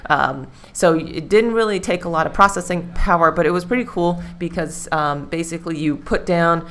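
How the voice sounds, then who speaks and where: Chatty low-voiced woman host. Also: moderate pace. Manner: chatty, rambling with fillers. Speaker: livestream host. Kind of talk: casual live-stream chat.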